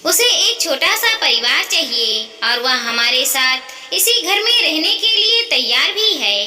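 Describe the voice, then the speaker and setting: Woman narrator as a high-voiced caring elderly mother-in-law. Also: big pitch swings, unhurried, sharp tone, heavily acted. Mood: reassuring, persuasive. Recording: echoey, reverberant hall-like sound.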